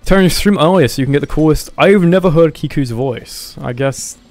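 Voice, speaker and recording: A man's voice: on the high side, a little fast, a little mellow, no echo. A man hosts a casual gaming livestream, hesitant and mumbly, with plenty of swearing. Game music plays faintly behind him. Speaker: livestream host. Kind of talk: casual gaming stream chat.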